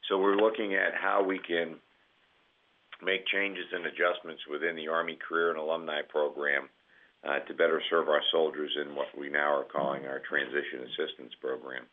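A man with a cold, sounding scratchy and nasal, speaking as a guest in measured remarks with many pauses. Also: extremely low pitch, flat delivery. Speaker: senior army enlisted leader, guest. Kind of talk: conference call remarks. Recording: tinny phone line.

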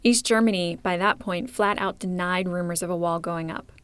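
A woman hosting a podcast, reading scripted documentary narration in a clear, measured storytelling manner. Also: lowish voice, steady tone, a bit bright, no room sound. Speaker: podcast host.

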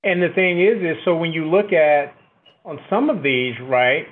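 A middle-aged man with a booming voice, an officer answering interview questions reflectively and often checking in with "right?". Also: animated, a little slow. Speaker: military officer, podcast guest. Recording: thin, phone-like band-limited line.

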